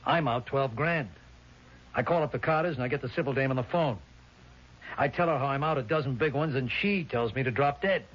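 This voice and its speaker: An actor playing a tough, shady restaurant owner in 1970s radio drama, gruff, slangy and streetwise. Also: male voice, medium pitch, brisk, fairly bright tone, lightly acted. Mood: grudgingly confessing. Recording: dull vintage radio recording.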